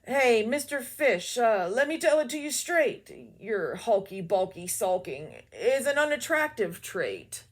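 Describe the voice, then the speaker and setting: A bulky octopus , voiced by a woman reading a picture book, tells it straight in a loud, raised voice. The delivery stays firm and lively. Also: a little high, unhurried, hardly any pauses. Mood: blunt. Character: blunt, big eight-armed male octopus.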